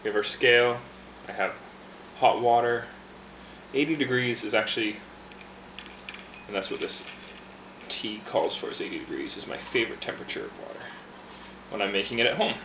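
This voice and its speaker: A man working behind a tea bar casually explains how to brew a green tea, talking in a relaxed way with fillers and restarts. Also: mid-range voice, moderate pace, long pauses, roomy sound, noisy background. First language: English. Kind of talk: casual instructional demonstration.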